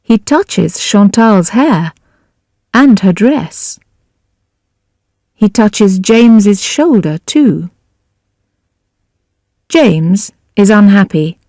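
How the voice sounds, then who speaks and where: A woman reading a simple story for language learners in a deliberate, carefully enunciated scripted narration. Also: medium pitch, expressive, mellow, dry recording, clean studio sound. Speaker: audiobook narrator.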